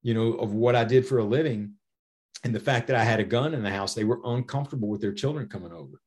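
A man's voice: medium pitch, quick pace, a little mellow. Middle-aged American man explaining through anecdotes. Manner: explanatory, anecdotal, frequent "you know". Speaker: podcast guest, safety author and former federal air marshal. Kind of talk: conversational interview answers.

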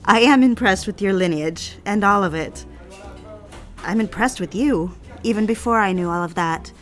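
A woman's voice: medium pitch, moderate pace, natural voice. Lady playing witty young girl, mid-range voice, coy and teasing, old-fashioned romance drama, light music under it. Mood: sincere, warm. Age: young adult. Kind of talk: period romantic audio drama acting.

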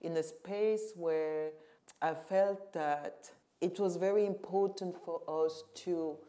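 A middle-aged woman from Cameroon, a curator and museum director, speaking reflectively and with emphasis in a panel conversation, often pausing to search for words. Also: on the low side, fairly even intonation, mellow.

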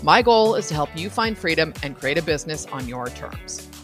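A middle-aged woman hosting a podcast in a loose, chatty way. Her voice sits low for a woman but swings widely in pitch. Faint music plays behind her. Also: moderate pace. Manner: chatty and animated, with frequent laughs and asides. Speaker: podcast host. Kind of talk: casual podcast conversation.

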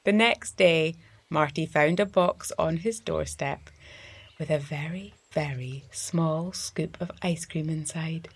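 A woman reading a story for young children in an expressive, deliberate storytelling manner, as the host of a story series. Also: sits low, slightly rough.

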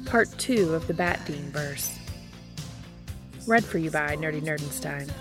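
A woman's voice: low-pitched, unhurried, music in the background. A woman reading a story aloud as scripted audiobook narration. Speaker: audiobook reader of fan fiction.

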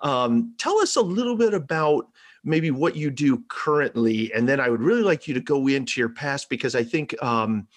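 A man's voice: mid-range voice, animated, moderate pace. A man hosting a faith-based business podcast interview, friendly and affirming, with hesitant fillers. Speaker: podcast host.

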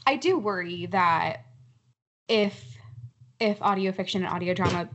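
A woman speaking as a panelist in a roundtable discussion, in a measured, deliberate way. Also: medium pitch, fairly bright tone, slight background noise.

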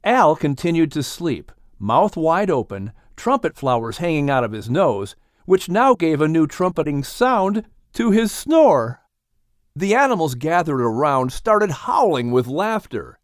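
Male narrator for a story podcast: fairly high voice, very expressive range, dry close sound. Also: a little slow, dry recording. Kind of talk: animated scripted storytelling.

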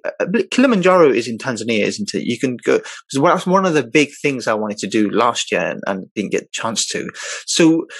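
A man's voice: mid-range voice, fairly bright tone, breathy edge, no echo. A man asking questions conversationally as the interviewer.

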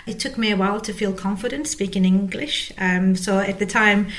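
A woman's voice: mid-range voice, fairly even intonation, moderate pace. A woman in her forties from Turkey, speaking as an executive guest in a conversational interview. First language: Turkish. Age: 40s. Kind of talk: conversational interview about career.

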